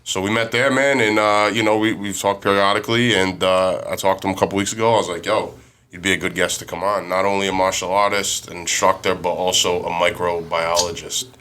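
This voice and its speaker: A man's voice: low voice, steady tone, a little fast, pushed and bright, slight background noise. An American man hosting a podcast in a casual, slangy chat with some swearing. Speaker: podcast host.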